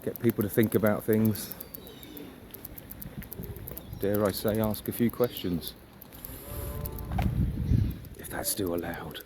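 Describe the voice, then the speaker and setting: A man's voice: lowish voice, takes it slowly, pauses often. A man giving a casual, reflective walking monologue as a vlogger, musing and posing rhetorical questions. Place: outdoors on a riverside walk. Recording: outdoor ambient noise, birds and traffic.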